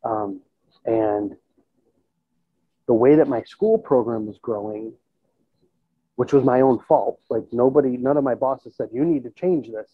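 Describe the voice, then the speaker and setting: Husky man on a tinny call. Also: mid-range voice, a little slow, pauses often, no room sound. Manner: conversational, thinking aloud, checks in with "you know" and "right?". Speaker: musician and school band teacher being interviewed. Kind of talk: casual reflective interview talk. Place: indoors in a plain room on a video call. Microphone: earbud headset. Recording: tinny, band-limited video call audio.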